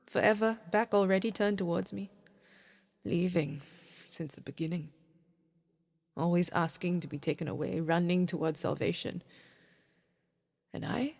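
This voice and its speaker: Anxious hurt girlfriend, woman in a naturalistic audio drama, halting n probing, everyday talk. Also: lowish voice, vocal fry, a little mellow, a little hiss or hum. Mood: mournful, poetic. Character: hurt, anxious woman clinging to her partner in a strained relationship.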